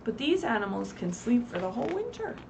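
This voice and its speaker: A woman reading aloud expressively at a children's story time, pausing to put simple questions to her young listeners. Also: a little high, very animated, gravelly. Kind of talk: animated read-aloud with asides to young children. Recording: distant mic, faint background noise, livestream.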